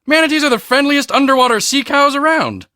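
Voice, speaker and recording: A man voicing a cocky, boastful teenage high school football star in a video game, with a swaggering, emphatic, self-important delivery. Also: animated, a little fast, bright, forceful tone, no room sound, clean studio sound, exaggerated voice. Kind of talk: video game character dialogue.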